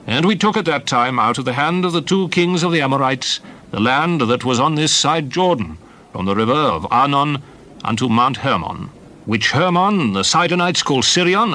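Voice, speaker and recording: Bright-voiced, declamatory Old Testament leader. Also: male voice, medium pitch. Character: solemn Old Testament leader recounting wars and journeys. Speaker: dramatized scripture reader. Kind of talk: dramatized Bible reading, archaic English.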